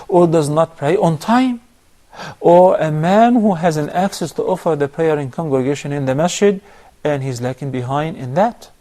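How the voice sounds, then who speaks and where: A man, a sheikh on a call-in show, giving measured, step-by-step religious advice. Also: on the high side, mellow. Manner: measured, instructive, lists steps. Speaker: sheikh answering viewer questions.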